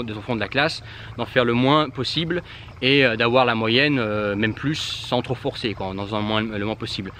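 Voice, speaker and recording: A man's voice: medium pitch, a bit bright, slight background noise. A man whose first language is Germanic, giving casual language-learning advice straight to the viewer, with lots of fillers and restarts. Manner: informal, addresses the viewer directly, many fillers and restarts. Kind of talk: casual direct-to-camera advice.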